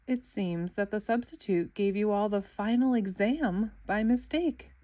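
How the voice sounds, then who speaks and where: Woman as a weary cartoon schoolteacher, slow-paced. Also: mid-range voice, warm tone, no room sound. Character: weary, anxious female cartoon boating-school teacher.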